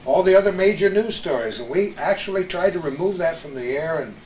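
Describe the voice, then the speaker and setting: Older American man, high-pitched voice, roomy sound. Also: expressive, fairly quick, darkish tone. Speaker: host, veteran radio broadcaster. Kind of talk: reminiscing, anecdotal chat with old colleagues. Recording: roomy echo with some background noise.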